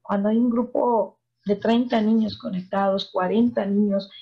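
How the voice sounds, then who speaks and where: A woman's voice: mid-range voice, fairly even intonation, moderate pace, slightly rough. A woman, a psychologist explaining things to parents in a virtual talk and often asking them rhetorical questions. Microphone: video call. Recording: muffled video call audio.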